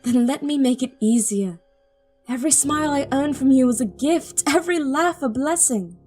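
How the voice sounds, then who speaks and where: A woman's voice: sits high, normal speed, dark tone, slightly rough, faint music behind. A woman playing an earnest, devoted young princess in an audio drama, speaking articulately. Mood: earnest, pleading. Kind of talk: audio drama acting with first-person narration.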